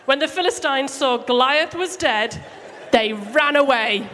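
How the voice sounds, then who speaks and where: Female live children's storyteller, highish voice, theatrical and emphatic, church hall reverb with noticeable noise. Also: fairly bright tone, a faint crowd. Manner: theatrical, emphatic, deliberate phrasing. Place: large room, live church service. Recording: echoey hall, clear background noise.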